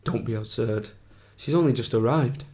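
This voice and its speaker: A man's voice: mid-range voice, moderate pace, a little mellow, close to an everyday voice. A man playing an eccentric, loyal companion in a fantasy radio drama, with a wry, rambling manner. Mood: evasive, resigned.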